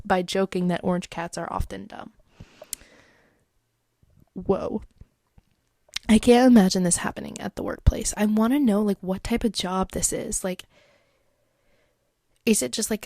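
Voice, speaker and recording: A woman hosts a solo podcast, reading advice posts aloud and rambling between them with plenty of fillers. Her voice sounds congested and stuffed up, with a gravelly, creaky texture and a dark, mellow tone. The sound is dry and close to the mic. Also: mid-range voice, dry recording. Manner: chatty and rambling, many likes and fillers. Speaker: podcast host.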